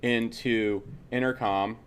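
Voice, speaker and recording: A man's voice: medium pitch, fairly even intonation, slow pace, smooth. A man teaching a casual screen-share tutorial, speaking bluntly and conversationally, repeating himself and checking in with short tags. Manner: blunt, opinionated, swears freely. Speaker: software instructor.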